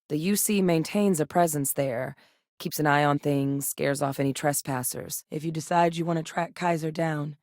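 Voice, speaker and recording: An actress playing a composed, principled middle-aged woman scientist in a space video game, speaking in a measured, earnest way with dry wit. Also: sits low, darkish tone, no echo. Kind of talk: video game character dialogue.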